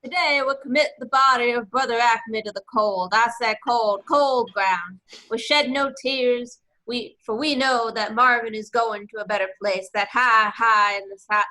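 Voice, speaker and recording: A woman's voice: a little high, unhurried, few pauses, sharp tone, poor audio. A woman voicing a pompous male cartoon rooster with a Southern US accent, delivering a blustery eulogy and repeating words for emphasis. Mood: solemn, blustery.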